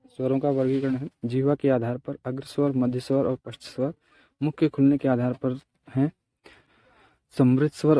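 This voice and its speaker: Male tutor, slow and methodical, soft dark tone, close dry sound, explaining notes for exam students. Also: medium pitch, no room sound. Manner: methodical, repeats key terms and examples. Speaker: teacher. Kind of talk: exam-prep grammar lesson, reading out and explaining notes.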